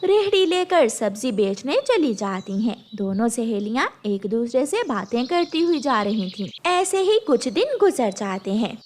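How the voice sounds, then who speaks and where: A woman narrates a children's moral story from a script. Her voice is high and moves through a lively, wide range of pitch. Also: unhurried, hardly any pauses, slight background noise. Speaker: story narrator, also voicing bird characters.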